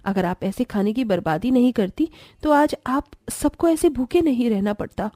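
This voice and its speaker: A woman playing a gentle, respectful, earnest young daughter-in-law from a poor family in a cartoon story. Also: a little high, lively intonation, normal speed, no echo, studio quality, natural voice. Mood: mild reproach.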